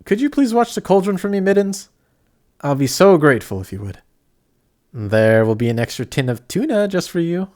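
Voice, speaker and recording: Man playing an excitable, awkward young apprentice sorcerer. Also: fairly high pitch, normal speed. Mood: excited. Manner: chatty, flustered, stammering, self-conscious. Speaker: voice actor. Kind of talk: amateur audio roleplay acting addressed to the listener.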